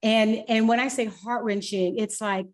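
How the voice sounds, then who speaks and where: A woman hosting a podcast interview in a conversational, emphatic and expressive way. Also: fairly high pitch, a little slow. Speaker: podcast host.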